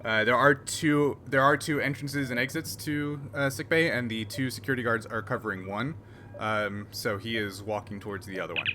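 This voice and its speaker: A man running a tabletop role-playing game as game master, narrating in a relaxed, halting way with fillers. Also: mid-range voice, a little hiss or hum.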